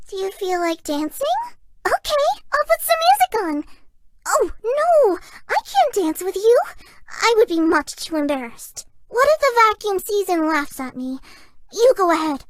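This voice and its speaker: A woman voicing a shy, sweet, excitable young female fox spirit for a video game, with a cutesy girlish voice. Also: very high-pitched, unhurried, dry recording, heavily acted. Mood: bashful, flustered. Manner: bashful, earnest, playful. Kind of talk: video game character voice lines.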